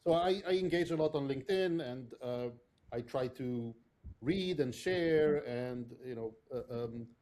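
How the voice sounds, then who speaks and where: Mellow-voiced man. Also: medium pitch, unhurried. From Israel. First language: not English. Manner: thoughtful, many restarts and fillers. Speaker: podcast guest, product leader. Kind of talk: conversational interview answer.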